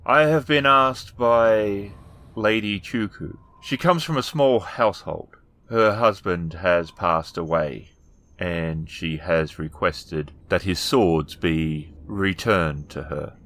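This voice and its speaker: A man's voice: low-pitched, slow, a bit bright, heavily acted. A man playing a shrewd, cold samurai lord of about sixty in a tabletop game, speaking tersely and formally. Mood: grave, guarded.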